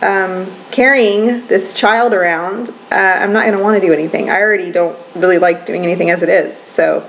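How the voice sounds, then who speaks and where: A woman's voice: medium pitch, steady tone, a little fast, hardly any pauses, a little hiss or hum, poor audio. A woman in her twenties chatting casually in a home vlog, rambling with lots of ums and little laughs. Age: 20s. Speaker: vlogger.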